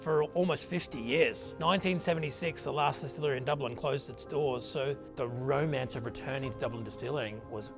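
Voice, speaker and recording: A man's voice: mid-range voice, a little fast, few pauses. A man who represents a whiskey brand, telling its story in a documentary interview, reflective and repeating words as he goes. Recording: interview with clear music underneath.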